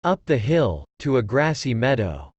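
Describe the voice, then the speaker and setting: A man's voice: mid-range voice, big pitch swings, normal speed, darkish tone, slightly rough, dry recording, dull audio. A man narrating a scripted story for children.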